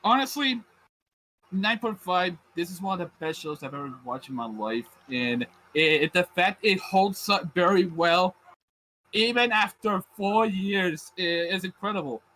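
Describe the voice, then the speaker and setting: A man's voice: high voice, expressive, measured pace, pushed and bright, slight background noise, low-quality recording. A man with a Puerto Rican accent, co-hosting a casual podcast chat, rambling with frequent restarts and casual swearing. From Puerto Rico. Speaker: podcast co-host.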